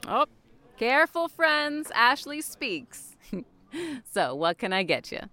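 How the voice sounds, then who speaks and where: A woman's voice: a little high, sing-song, takes it slowly, sharp tone, full character voice. A woman voicing a sardonic young barista who teases her regulars with dry wit, in an audio drama. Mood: wry. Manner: wry, playful teasing. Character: sardonic young nonbinary barista, teasing and witty.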